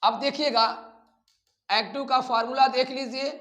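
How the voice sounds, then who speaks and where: A man with an Indian accent teaching a grammar lesson in a didactic way, repeating phrases deliberately. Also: very high-pitched, steady tone, fairly bright tone. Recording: echoey room sound.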